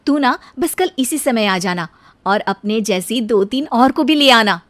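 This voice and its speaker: A woman voicing an eager, determined young city-bred fashion designer wife in an animated cartoon, in an expressive way. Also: a little high, unhurried.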